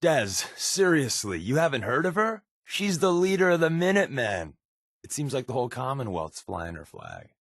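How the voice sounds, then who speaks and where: Lively, wisecracking spy. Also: male voice, mid-range voice, normal speed, no echo, clean studio sound. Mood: impressed, probing. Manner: casual, sardonic, deadpan quips. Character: wry, wisecracking, secretive male spy companion, paranoid. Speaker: video game voice actor. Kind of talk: game companion dialogue lines.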